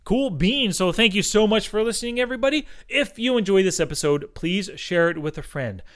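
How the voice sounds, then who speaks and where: A man's voice: sits high, lively intonation, brisk. A man hosting a casual movie podcast, talking in a chatty, slangy, enthusiastic way. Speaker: podcast host.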